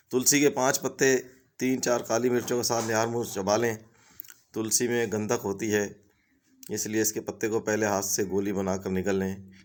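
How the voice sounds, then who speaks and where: Male host, low and level voice, instructive reading of remedy tips. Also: level tone, unhurried, long pauses. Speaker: home remedies channel host.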